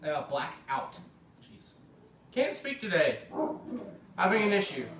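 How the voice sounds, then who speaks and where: A slightly sick American man chats loosely through his gameplay, correcting himself as he goes. His voice sits a touch high for a man and carries the echo of a bare room. He is a little away from the mic, with faint music underneath. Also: very animated, slow, fairly bright tone, distant mic, slight background noise. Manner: chatty, rambling, corrects himself. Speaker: gaming video creator. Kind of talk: casual gameplay commentary.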